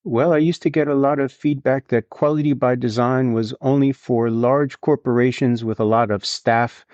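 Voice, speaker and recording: A middle-aged man with a Swedish accent, a guest in a conversational interview, explaining in a measured way with some fillers. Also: medium pitch, steady tone, darkish tone, no echo. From Sweden. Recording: dull, slightly lo-fi sound.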